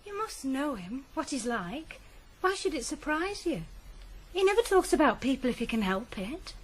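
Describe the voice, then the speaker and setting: A woman's voice: high-pitched, sing-song, moderate pace, a little hiss or hum, rough recording. An actress in a 1980s radio drama playing an unsentimental woman with a frank, matter-of-fact manner.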